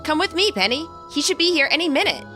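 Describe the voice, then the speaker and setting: A woman voicing an excitable, friendly, boastful teenage boy from a game, talking in an eager, chummy way. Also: high voice, fast, soft background music, voice pushed up, heavily acted. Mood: eager, excited.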